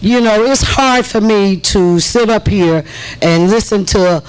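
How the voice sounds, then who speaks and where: A trustee speaking out to residents at a village board meeting, deliberate and emphatic, repeating phrases for effect. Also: female voice, medium pitch, clean tone, poor audio. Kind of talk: impassioned public meeting speech.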